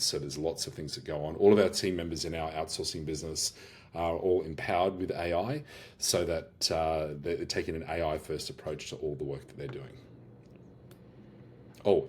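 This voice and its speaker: A man with an Australian accent walks through a software demo in a casual way, often restarting his sentences and using fillers. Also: extremely low pitch. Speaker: host of AI workshops and business owner. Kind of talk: screen-share product demo.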